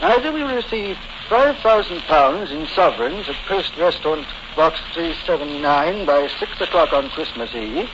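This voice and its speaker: Crackly, muffled old radio recording of a man acting a wealthy English lord. His voice is high and animated, with a polite, fussy, anxious manner in 1940s radio style. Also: moderate pace, natural voice. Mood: concerned.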